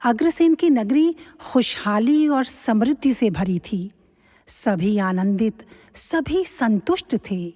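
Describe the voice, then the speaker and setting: A woman's voice: on the high side, expressive, slow pace, mellow. A woman giving scripted storytelling narration for an audio drama. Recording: long hall-like reverb.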